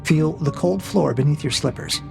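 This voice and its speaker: A man voicing a cold AI interrogator in a science fiction audio drama, measured and formal, needling with calm, mocking questions. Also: fairly high pitch, vocal fry, music in the background. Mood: calm, ominous.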